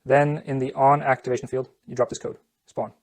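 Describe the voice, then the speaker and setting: Low-voiced woman, scripted how-to narration. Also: little pitch movement, fairly quick, a little mellow. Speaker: tutorial narrator.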